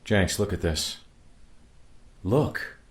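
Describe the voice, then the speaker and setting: An actor playing a pragmatic, weary commanding officer of a stranded space crew in a measured radio play performance. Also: male voice, deep voice, lively intonation, exaggerated voice. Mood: intent, grave. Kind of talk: naturalistic radio play acting.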